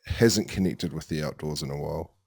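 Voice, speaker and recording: A man hosting a podcast, asking long, reflective questions at a measured pace with pauses. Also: rumbling low, vocal fry, darkish tone, slightly rough, dry recording. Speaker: podcast host. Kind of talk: interview questions.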